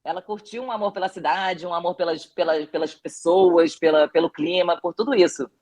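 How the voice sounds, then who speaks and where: A woman's voice: fairly low pitch, steady tone, a little fast, a little mellow. A woman with a Brazilian Portuguese accent talks through her documentary in a podcast interview. She tells anecdotes reflectively, circling back and restarting her sentences as she searches for the right words. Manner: reflective storytelling with frequent restarts. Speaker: documentary director.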